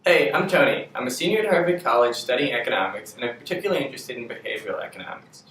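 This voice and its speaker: A university man in his twenties pitches with animated rhetorical questions. His voice moves a lot in pitch. It sounds roomy and a little far from the mic. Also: rapid-fire, slight background noise. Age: 20s. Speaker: university student. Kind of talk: scripted pitch narration. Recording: roomy, distant recording.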